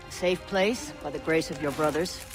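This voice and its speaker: Stern village elder, raised pitch man acting. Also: normal speed, close to an everyday voice. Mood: grim. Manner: solemn, emphatic, rhetorical. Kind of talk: dramatic game cutscene acting. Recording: dramatic music behind.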